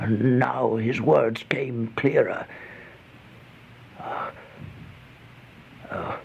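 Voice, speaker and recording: Slow, creaky-voiced, haunted man in terror. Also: mid-range voice, exaggerated voice. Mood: desperate. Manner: halting, gasping, theatrical delivery with drawn-out dread. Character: horrified man narrating his nightmare in a plague-haunted house. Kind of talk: old time radio horror monologue. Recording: muffled, noisy old radio recording.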